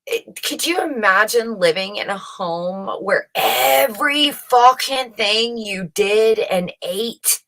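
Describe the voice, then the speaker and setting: A woman's voice: on the high side, expressive, slow pace, hardly any pauses, sharp tone. A woman giving casual commentary on research she reads out, opinionated and emphatic, often putting rhetorical questions to the listener. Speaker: host reading and commenting on research papers.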